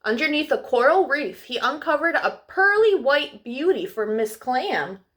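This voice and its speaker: A woman hosting children's storytime, expressive and affectionate, speaking simply as she would to young listeners. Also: sits high, a little slow. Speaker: storytime host reading to children. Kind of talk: animated children's storybook reading and friendly address.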